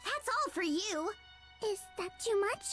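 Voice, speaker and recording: A woman's voice: very high voice, lively intonation, unhurried, fairly bright tone, faint music behind, heavily acted. A woman voicing tiny, urgent, otherworldly forest fairies in a visual novel. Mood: expectant. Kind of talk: visual novel character dialogue.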